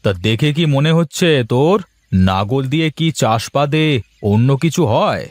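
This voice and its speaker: A man playing a gruff, busy farmer, the older brother in a village cartoon. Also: mid-range voice, animated, moderate pace, dry recording, close to an everyday voice. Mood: brusque. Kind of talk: Bengali moral cartoon dubbing.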